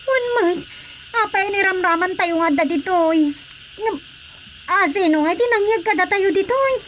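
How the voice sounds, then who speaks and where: A woman's voice: very high-pitched, unhurried, fairly bright tone, a little hiss or hum. A woman with a Filipino accent playing a small, frightened, plaintive youngster in a radio drama. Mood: worried. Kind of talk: Ilocano radio drama acting.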